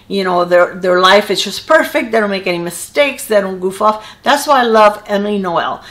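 A woman's voice: mid-range voice, fairly bright tone. Earnest older woman with Cuban accent. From Cuba. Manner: chatty, earnest, rambling with restarts. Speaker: beauty channel creator. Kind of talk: casual talk to camera. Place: small indoor room with a wall of decorative tiles.

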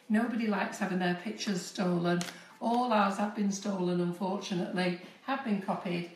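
A chatty woman in her fifties demonstrates cake piping in a home kitchen, speaking straight to her viewers. Her voice is a little squeaky from a cold. The sound is echoey and she often drifts away from the phone mic. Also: recorded from a distance, slight background noise. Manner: chatty, instructive, addresses viewers directly. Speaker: cake decorating tutor. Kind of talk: live-streamed baking demonstration.

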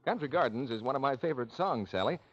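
A man working as a radio announcer, delivering scripted 1940s-style announcements and sales pitches. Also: lively intonation, fast, muffled recording, loud music behind the voice.

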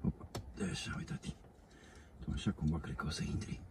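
A middle-aged man in a parked car, muttering to himself and coaxing the parts while he works on the dashboard. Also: background noise. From Romania. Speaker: DIY car vlogger. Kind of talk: casual self-talk while working. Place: inside a parked car, outdoors.

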